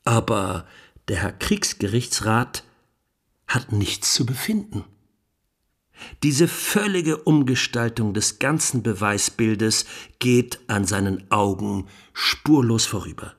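A man playing a defence lawyer in a 1920s trial, delivering a rhetorical, deliberate and emphatic courtroom plea for a radio documentary. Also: on the low side, animated, a bit bright, heavily acted. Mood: grave, indignant. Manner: rhetorical, deliberate, emphatic, with dropped phrase endings. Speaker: defence counsel.

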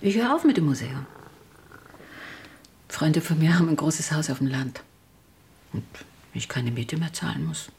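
A woman's voice: low voice, big pitch swings, normal speed, long pauses, darkish tone, breathy edge, heavily acted. An actress playing a weary, frank but resolute woman in a German radio drama, speaking with some hesitation. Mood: resigned, resolute. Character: Ingrid, a weary, frank, resolute museum worker.

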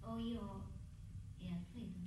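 An actress playing a young office trainee in a stage drama, anxious to please, hesitant and defensive. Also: female voice, medium pitch, fairly even intonation, takes it slowly, warm tone, lightly acted. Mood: alarmed, doubting. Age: young adult. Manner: hesitant, defensive, repeats herself. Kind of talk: theatrical stage drama acting. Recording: very echoey, distant, noisy stage recording.

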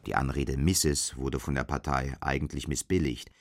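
An actor playing the sober narrator of a bleak dystopian tale in a scripted radio play. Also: male voice, extremely low pitch, fairly even intonation, moderate pace. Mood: sober, measured.

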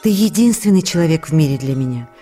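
A woman's voice: low-pitched, expressive, quick pace, dark tone, faint music behind. An actress playing an anguished, devoted wife reading her intimate, emotional letter in a radio play. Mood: pleading, sorrowful.

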